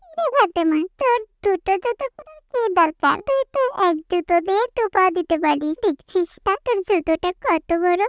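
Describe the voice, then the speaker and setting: Cartoon schoolgirl bully, taunting, very high-pitched, dry recording. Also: lively intonation, moderate pace, dry recording. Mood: mocking. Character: mocking, teasing young schoolgirl. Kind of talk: cartoon character acting.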